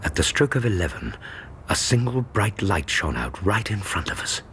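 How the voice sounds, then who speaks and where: An actor playing an earnest Victorian British gentleman, a loyal companion narrating a radio drama in a measured, suspenseful, literary way with a husky voice. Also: low-pitched, big pitch swings, slight background noise, full character voice. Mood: tense, suspenseful.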